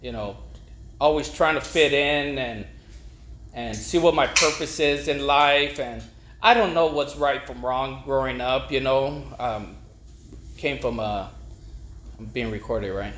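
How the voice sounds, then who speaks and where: Halting middle-aged LA man, echoey room. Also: mid-range voice, a bit bright. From East Los Angeles, United States. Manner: halting, repeats words, rambling. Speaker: speaker at a recovery meeting. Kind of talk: informal personal testimony. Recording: echoey room with faint noise.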